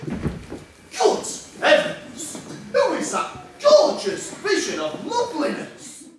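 A woman playing a pompous, lovestruck gentleman in an amateur stage pantomime, declaiming in an exaggerated way. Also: extremely high pitch, huge pitch swings, slow, heavily acted. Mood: smitten, grandiose. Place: theatre stage, filmed from far off. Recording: echoey noisy theatre, distant microphone.

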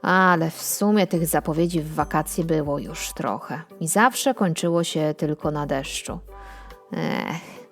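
A woman narrating a scripted bedtime story for children in an expressive, unhurried storytelling manner. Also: deep voice, faint music behind. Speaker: storyteller reading a children's audio tale.

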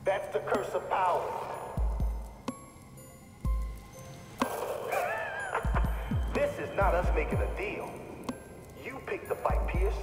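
An actor playing a menacing, mocking gang boss and ex-soldier in a video game, swaggering and profane. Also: male voice, high-pitched, big pitch swings, slow pace, full character voice. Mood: taunting, defiant. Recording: echoey hall, loud music over voice.

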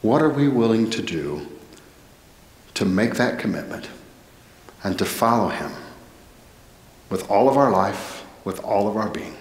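A middle-aged American man preaching a sermon as a pastor, earnest and deliberate in his phrasing. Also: on the low side, expressive. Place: indoor church, at a pulpit. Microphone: headset microphone. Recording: echoey church hall with long reverb.

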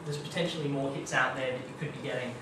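A man's voice: mid-range voice, steady tone, fast talker. A young Australian man giving a casual, chatty tech talk at a meetup, full of ums and kind-ofs. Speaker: guest speaker at a marketing meetup. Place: dim meeting room with projector screen. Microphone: far room camera. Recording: echoey room, distant camera, noisy.

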